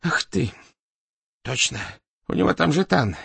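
A man's voice: a little high, animated, a little slow, vocal fry, fairly bright tone, husky, no echo, pitched above the performer's own voice, exaggerated voice. A man reading an audiobook as an anxious, fawning male manager who placates and makes excuses. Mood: defensive. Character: nervous middle-ranking male manager, fawning and defensive.

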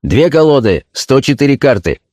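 Formal male club steward done by a male narrator, stern and measured, slightly raised pitch, audiobook style. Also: big pitch swings, a little mellow, dry recording, studio quality. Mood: official, counting. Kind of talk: audiobook character acting.

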